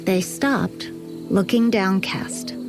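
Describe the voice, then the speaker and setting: A woman narrating scripture in a measured storytelling manner for an audio Bible. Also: mid-range voice. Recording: studio voice over background music.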